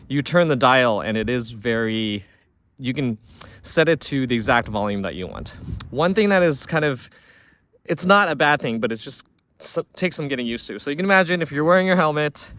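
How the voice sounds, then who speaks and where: A man's voice: mid-range voice, fairly bright tone. A man giving a casual, unscripted product review, thinking aloud in a conversational way as he goes.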